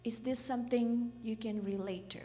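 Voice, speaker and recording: A middle-aged woman from Indonesia gives a reflective church message, speaking gently and asking the listeners questions. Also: on the high side, fairly even intonation, normal speed, slight background noise. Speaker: children's program director giving a church message. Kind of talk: reflective sermon talk. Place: church hall. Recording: reverberant church hall.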